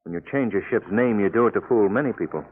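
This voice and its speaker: An actor playing a confident, coolly determined gentleman sleuth, decisive and urbane, in a 1940s radio drama. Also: on the low side, quick pace, a little hiss or hum, natural voice. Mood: intrigued, calm. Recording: tinny 1940s radio recording, music traces.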